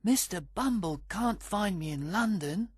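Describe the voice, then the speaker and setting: A man's voice: high voice, lively intonation, unhurried, husky, dry recording, studio quality, pitched above the performer's own voice, full character voice. A tiny timid orphan boy. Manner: soft, timid, plaintive. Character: small frightened orphan boy. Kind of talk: storybook reading.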